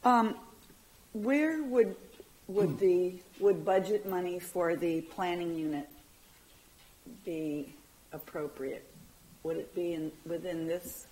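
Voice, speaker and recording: A woman's voice: fairly low pitch, takes it slowly, pauses often, dark tone, rough recording. An older woman on a county council, asking questions at a desk microphone in a council chamber, with hesitant restarts. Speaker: council member. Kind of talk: council discussion.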